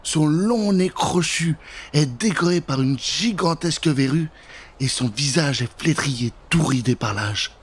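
A male storyteller with a husky, fairly high voice. He narrates a children's tale dramatically, close on the mic. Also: a little slow. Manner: expressive, dramatic. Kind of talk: theatrical scripted storytelling for children. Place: indoor recording setup. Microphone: close microphone with pop filter.

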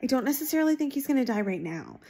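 A woman in her thirties chatting casually and emphatically to her phone at home, reacting in an animated, informal way. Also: high voice, very fast. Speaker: book vlogger giving reading reactions. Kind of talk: casual reaction chat to camera. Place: small room at home, indoors. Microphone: phone at arm's length.

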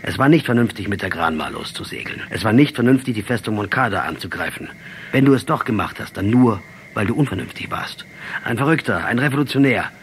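A man's voice: on the low side, animated, fairly quick, slight background noise. A male actor in a radio drama playing a defiant, sardonic revolutionary leader. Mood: scornful.

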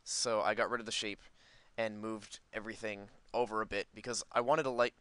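Clear, bright-voiced man rambling over a game. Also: lowish voice, level tone, fairly quick, no echo. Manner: rambling, thinking aloud. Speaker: gaming video host. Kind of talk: casual Minecraft let's play commentary.

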